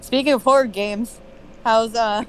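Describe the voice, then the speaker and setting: A woman's voice: a little high, steady tone, a little slow, clear, resonant tone, poor audio. A young adult woman talking casually about games as a co-host. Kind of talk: casual gaming chat.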